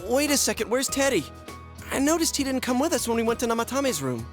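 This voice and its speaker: An actress playing an emotional, outspoken teenage girl in an English video game dub, with an earnest, expressive delivery. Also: on the high side, quick pace, soft background music. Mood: puzzled.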